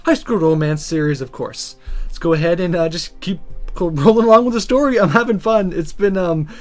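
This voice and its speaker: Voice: mid-range voice, fairly quick. A video game host chatting casually and thinking aloud while playing and narrating a visual novel. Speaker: host of a gaming video series. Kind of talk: let's play commentary and read-aloud narration of a visual novel. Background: music.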